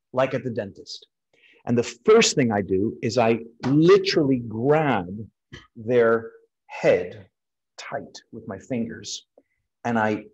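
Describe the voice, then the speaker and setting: Slightly husky American man giving a lecture. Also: mid-range voice, a little mellow, rough recording. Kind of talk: informal explanatory lecture. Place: indoors, on a video call over slides.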